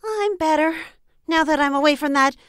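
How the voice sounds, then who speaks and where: A woman's voice: high voice, moderate pace, a bit bright, dry recording, studio quality. A woman playing a small, tough superheroine, earnest and matter-of-fact, in a comedy audio drama. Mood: hurt, upset. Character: small, tough, wounded superheroine, martial arts expert.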